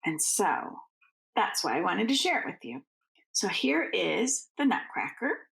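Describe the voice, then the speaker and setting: A woman reading a storybook aloud to children as a teacher, with expressive, deliberate phrasing and informal asides. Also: medium pitch, vocal fry, rough recording.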